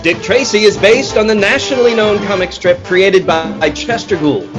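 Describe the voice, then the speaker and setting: A man's voice: high voice, moderate pace, over music, close to an everyday voice. An actor playing an eager, folksy radio sponsor announcer in 1940s style, with a bouncy, theatrical salesman's delivery. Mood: dramatic, announcing. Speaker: announcer and circus owner actor.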